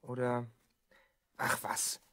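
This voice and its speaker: A performer playing a cautious, concerned twelve-year-old boy in a German audio drama, husky and earnest. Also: very slow, sharp tone, no room sound. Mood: cautious, approving.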